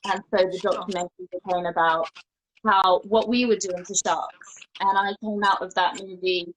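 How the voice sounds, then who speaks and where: Young female guest from France, mid-pitched, conversational, keen and sincere. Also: steady tone, normal speed, a little hiss or hum, rough recording. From Brittany, France. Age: young adult. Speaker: podcast guest, marine researcher. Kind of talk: casual conversational interview.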